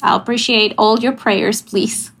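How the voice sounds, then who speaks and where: A woman from Venezuela, a missions pastor chatting casually over a video call, laughing often and pausing on fillers. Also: a little high, steady tone, a bit bright, slight background noise, poor audio. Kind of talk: casual interview chat. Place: family home.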